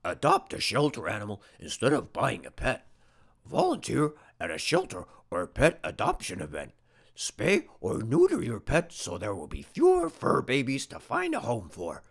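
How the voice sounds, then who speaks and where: A man's voice: medium pitch, wildly swooping pitch, normal speed, creaky. A man reading scripted narration aloud for children. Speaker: storybook reader.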